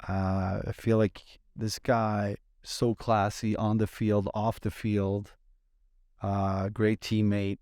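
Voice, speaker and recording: A man, hosting a casual podcast in a relaxed, thoughtful way, pausing to find his words. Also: lowish voice, no echo, clean studio sound. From Sweden. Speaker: podcast host.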